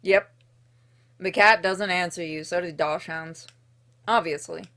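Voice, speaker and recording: A woman with a fairly low voice narrates a game as she plays it. She reads the text like a story, expressively, and slips into casual, dryly funny asides. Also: steady tone, measured pace, a bit bright. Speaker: streamer playing and narrating a game. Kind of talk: reading visual novel narration aloud with casual asides.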